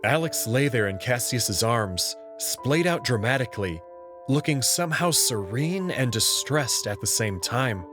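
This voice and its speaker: A man reading a visual novel aloud in the first person, expressive and prone to sudden outbursts. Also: mid-range voice, a little slow, music in the background.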